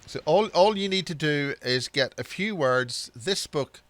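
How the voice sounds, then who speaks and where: This is a middle-aged man with a Belfast accent, talking casually and a bit higher than most men. He rambles and jokes at his own expense. He often repeats himself as he gets started on a sentence. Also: expressive, measured pace, a bit bright. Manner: joking, rambling, self-mocking. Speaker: host. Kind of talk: casual video-call chat.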